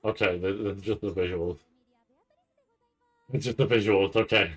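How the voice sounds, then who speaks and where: A man giving casual live reaction commentary, nervous and rambling, halting and repeating his words as he goes. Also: deep voice, fairly even intonation, faint music behind. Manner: anxious, nervous anticipation. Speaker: anime commentator reacting to a livestream event.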